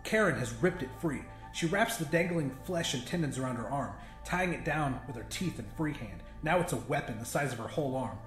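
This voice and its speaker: A man's voice: mid-range voice, lively intonation, brisk, few pauses, a bit bright, roomy sound, faint music behind. A man reading a first-person story as a dramatic audiobook narrator.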